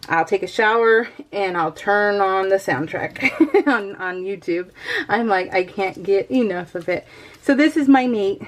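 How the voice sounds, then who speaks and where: A woman chatting casually to her viewers as a craft vlogger, in an excitable, rambling, giggly way. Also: mid-range voice. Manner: addresses viewers familiarly. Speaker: craft vlogger hosting a scrapbooking video.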